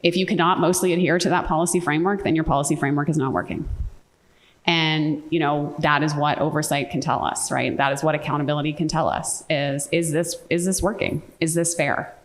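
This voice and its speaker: Low-voiced woman speaking emphatically on a panel. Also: fairly even intonation. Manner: emphatic, quick with frequent "right?" and "like". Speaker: conference panelist. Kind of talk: panel discussion remarks. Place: conference hall. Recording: reverberant conference hall.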